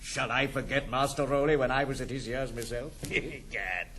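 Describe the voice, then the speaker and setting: An actor playing a bluff, jovial old British uncle in a period stage comedy, chuckling and exclaiming in a hearty manner. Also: male voice, mid-range voice, normal speed, fairly bright tone, close to an everyday voice. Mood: fond amusement. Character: bluff old uncle back from the East Indies, jovial, blunt. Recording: noisy 1960s studio album.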